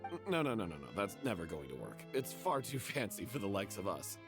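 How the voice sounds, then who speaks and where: A man's voice: lowish voice, big pitch swings, fairly quick. A man voicing an earnest, humble male performer in a video game, intense and self-effacing. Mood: flustered. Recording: music under the voice.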